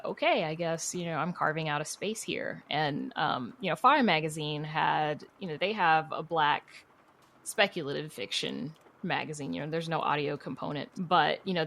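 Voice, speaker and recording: A woman's voice: sits low, creaky, a bit bright. A woman speaking as an interview guest in a casual, chatty way, peppering her talk with conversational asides. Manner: chatty, frequent "you know" asides. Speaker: guest, podcast creator and writer.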